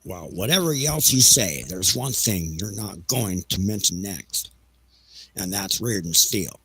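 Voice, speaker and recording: A man acts out a petulant, evasive railroad boss in an audio play. The voice is creaky and husky, recorded dry and close. He stammers and blusters, and his pitch swings widely. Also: lively intonation, unhurried, bright, forceful tone, no echo, full character voice, poor audio. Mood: resentful, blustering.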